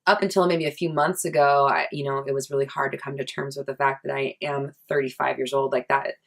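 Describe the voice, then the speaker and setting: A woman in her thirties speaks straight to her viewers in a loose, confessional vlog. Her voice sits low for a woman, and she talks in a chatty, candid way, full of likes and restarts, with casual swearing. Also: little pitch movement, fairly quick, creaky. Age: 30s. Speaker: vlogger.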